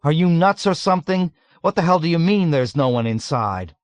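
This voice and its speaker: A man voicing an alarmed, incredulous soldier in an audiobook, loud and demanding with dread. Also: medium pitch, measured pace, dry recording, lightly acted.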